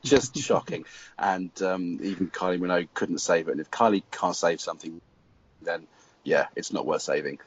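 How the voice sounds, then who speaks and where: British male guest critic, deep, husky, dry lo-fi sound. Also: normal speed, no room sound. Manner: dry, wry judgments. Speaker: guest film blogger. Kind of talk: casual opinion chat. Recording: dry lo-fi audio.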